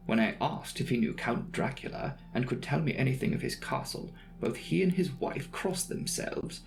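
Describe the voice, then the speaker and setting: A man giving a measured, dramatic reading of a classic novel as an audiobook narrator. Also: mid-range voice, vocal fry, rough recording.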